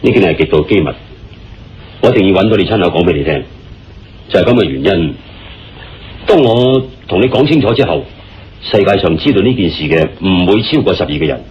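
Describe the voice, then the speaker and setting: A man acting as an earnest, deliberate male confidant in a radio play, explaining things with dramatic phrasing and a Hong Kong Cantonese accent. His voice is low and very animated in pitch. The recording is dull, with music playing behind. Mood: earnest, secretive. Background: background music.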